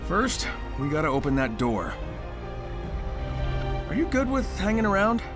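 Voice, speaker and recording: An upbeat game hedgehog: high, lively, breezy, over a music bed. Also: male voice, measured pace, full character voice. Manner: casual, breezy slang. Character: cocky fast blue hedgehog hero, upbeat. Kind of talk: video game cutscene dialogue. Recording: game audio with music underneath.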